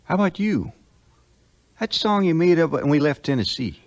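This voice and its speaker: A man's voice: a little high, big pitch swings, normal speed, a little mellow, lo-fi sound. A man playing a loyal backwoods scout and sidekick, with a rustic Southern drawl, in a 1950s radio-style adventure. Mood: companionable. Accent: American Southern. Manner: rustic, plain-spoken drawl.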